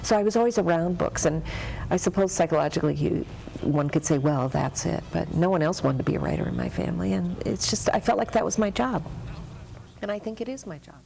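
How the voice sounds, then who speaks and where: A woman author reminiscing casually, halting and self-deprecating with frequent laughs. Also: fairly low pitch, animated, vocal fry, mellow, slight background noise, rough recording. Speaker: author guest.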